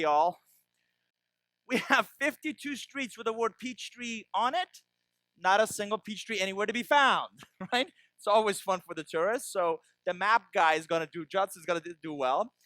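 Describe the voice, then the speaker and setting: A man from Iran giving a business keynote, lightening it with jokes and asides to the crowd. His voice is high and bright, and the recording is dry and close. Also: no echo, low-quality recording. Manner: tags lines with "right?" to the audience. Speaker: keynote speaker.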